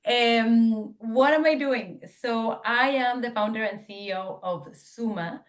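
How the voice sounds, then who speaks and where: A woman's voice: fairly high pitch, slow pace. A young woman from Venezuela, a startup founder, answering interview questions in an eager, talkative way with many fillers and restarts. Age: young adult.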